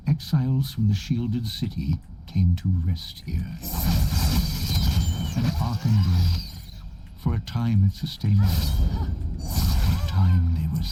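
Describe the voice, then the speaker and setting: An ancient, solemn guardian of the forest speaks in a fantasy game. The voice is low and creaky, every phrase slow and grave. Clear noise runs behind it. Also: male voice, warm tone.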